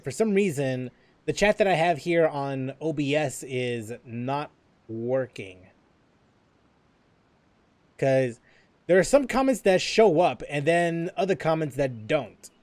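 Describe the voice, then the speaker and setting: A man on a live game stream who talks casually, halting often on fillers. His voice sits a bit high for a man and sounds close and dry, with no room echo. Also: lively intonation, a little mellow. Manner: rambling, with many fillers and restarts.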